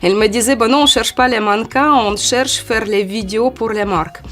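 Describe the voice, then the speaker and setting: Une femme d'une quarantaine d'années, d'origine ukrainienne, avec un ukrainien, qui répond à une interview sur un ton décontracté et hésitant. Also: female voice, on the high side, brisk. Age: 40s. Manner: hesitant, many "euh" fillers, lively when acting out quotes. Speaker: model, interview guest. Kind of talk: casual interview answers.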